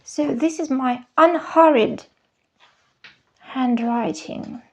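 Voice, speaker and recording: A woman speaks in a high voice with a gravelly edge. She talks unhurriedly in a casual vlog, choosing each word with care. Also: darkish tone, muffled recording. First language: Russian. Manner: slow, careful, searching for words. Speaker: vlogger, former university teacher. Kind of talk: casual show-and-tell vlog.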